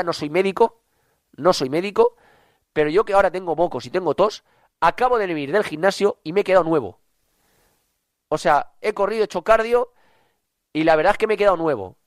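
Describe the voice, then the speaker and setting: Brief: Valencian man, radio sports host, stuffy with a cold, slightly high voice, dry close mic, chatty and repetitive. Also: no echo. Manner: chatty, repeats himself for emphasis. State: congested with a cough. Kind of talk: sports radio talk show.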